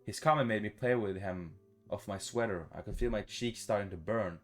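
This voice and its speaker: Low-voiced man reading a visual novel aloud. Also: brisk. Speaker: gaming YouTuber. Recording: music behind the voice.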